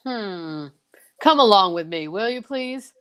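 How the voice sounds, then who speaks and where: A male doctor played by a woman in an old-time radio drama. He speaks slowly in an official, questioning way, like someone taking charge at a death scene. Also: mid-range voice, animated, a bit bright, smooth. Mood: puzzled, official.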